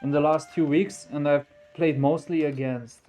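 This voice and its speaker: A man's voice: on the high side, fairly even intonation, normal speed, dark tone, soft background music. Reflective young man. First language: Romanian. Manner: reflective, thinking aloud. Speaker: gaming YouTuber. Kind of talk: casual commentary over a game replay.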